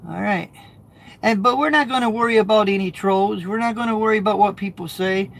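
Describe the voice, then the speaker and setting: Man, trucker, high voice, casual rambling chat, faint background noise. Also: a little fast, a bit bright. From United States. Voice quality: high-pitched, gravelly. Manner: rambling, repeats words, frequent restarts. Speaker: truck driver and video host. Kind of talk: casual chat to viewers, reading comments.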